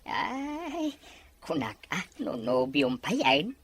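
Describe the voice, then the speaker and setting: A woman playing a gentle, worried farmer father who speaks tenderly and cautions, in a melodramatic audio drama. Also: deep voice, wildly swooping pitch, extremely slow, exaggerated voice. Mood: concerned, tender. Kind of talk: melodramatic radio-style drama acting.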